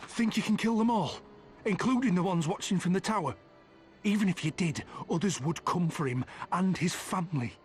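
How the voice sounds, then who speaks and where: An actor playing a wary, pragmatic resistance fighter in a video game, speaking in a grave, measured, firm way. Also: male voice, high voice, lively intonation, soft background music. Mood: stern. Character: wary, pragmatic male resistance companion, grimly cautious. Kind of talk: video game cutscene voice acting.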